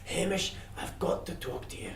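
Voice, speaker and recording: A man voicing a hooded Death figure in a soft, put-on manner, forever trying to get a word in. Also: high voice, animated, a little fast, creaky, voice pushed up, full character voice. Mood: wheedling. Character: hooded Death, patient but persistent, male. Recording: echoey room from far off.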